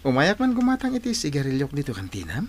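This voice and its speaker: A man's voice: a little high, huge pitch swings, fast talker. A man playing a flirtatious, evasive married man in a radio drama, playful and stammering out excuses. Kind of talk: Ilocano radio drama acting.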